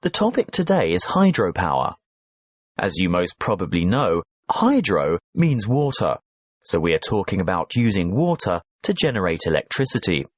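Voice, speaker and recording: A man reading scripted educational narration for a listening test in a measured, instructional way. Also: low-pitched, sing-song, no room sound.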